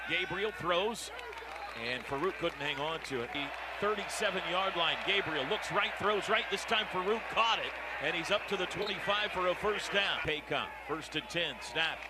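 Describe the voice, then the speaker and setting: An American man calling football play-by-play on the radio in clipped phrases, getting excitable on big plays. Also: sits high, moderate pace, a loud crowd. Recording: very noisy stadium radio broadcast. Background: loud stadium ambience.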